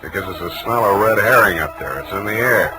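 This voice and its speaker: An American actor playing a wry, unflappable private detective in a 1940s radio drama, with dry, clipped, wisecracking delivery. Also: male voice, on the low side, expressive, brisk, heavy background noise, natural voice. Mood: dry, probing. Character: wry, unflappable male private detective narrating his case. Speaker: radio drama lead and narrator. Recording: 1940s radio broadcast with music.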